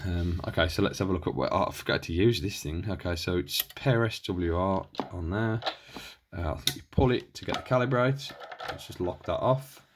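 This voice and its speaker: Low-voiced man, rambling through a demo. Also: moderate pace, background noise. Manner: rambling, hesitant, thinking aloud while handling gear. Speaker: hobbyist presenter reviewing radio equipment. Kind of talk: casual hands-on demonstration, unscripted.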